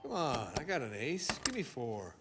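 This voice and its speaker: An actor playing a griping American soldier in a video game cutscene, speaking casually. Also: male voice, medium pitch, huge pitch swings, normal speed, loud music behind the voice. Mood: questioning, casual. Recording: clearly noisy background.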